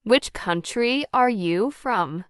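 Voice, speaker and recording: A woman's voice: on the high side, lively intonation, slow, clear, resonant tone, no echo, studio quality. A woman reading short English phrases for learners, first whole, then word by word, then whole again. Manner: careful word-by-word dictation. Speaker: English teacher. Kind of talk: slow model sentences for repetition drills.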